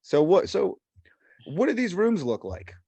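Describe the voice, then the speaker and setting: A man hosting a podcast who chats in a laid-back, excitable way and swears casually. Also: high-pitched, unhurried, creaky, breathy edge, no room sound. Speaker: podcast host. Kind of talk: casual chat.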